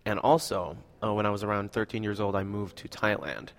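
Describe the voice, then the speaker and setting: Young man from Indonesia, low-pitched, hesitant interviewee with reverb. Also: a little fast. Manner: hesitant, many fillers. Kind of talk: casual conversation.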